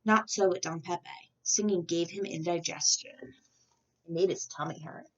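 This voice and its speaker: A woman's voice: fairly low pitch, fairly even intonation, moderate pace, distant mic. Woman reading a storybook, slightly dull audio. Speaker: storybook reader. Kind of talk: expressive children's read-aloud narration.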